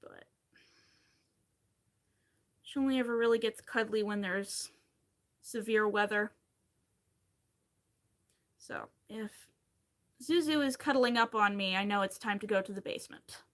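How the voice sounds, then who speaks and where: A woman's voice: mid-range voice, unhurried, long pauses, fairly bright tone. A woman in her thirties from Pennsylvania, chatting casually and rambling with wry asides while she crochets on a livestream.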